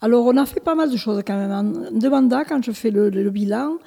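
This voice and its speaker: A woman's voice: fairly high pitch, brisk, gravelly, dark tone, long reverb tail. A woman in her seventies, born in Italy, answering interview questions as a village mayor, hesitant with many restarts and fillers. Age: 70s. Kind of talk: local interview.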